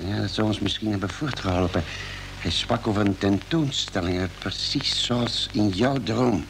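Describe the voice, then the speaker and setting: Thoughtful, careful space doctor in a Dutch radio serial; creaky delivery, faintly noisy old recording. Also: male voice, on the low side, a bit bright. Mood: pensive. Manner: hesitant, thoughtful, measured. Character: cautious, calm male ship's doctor.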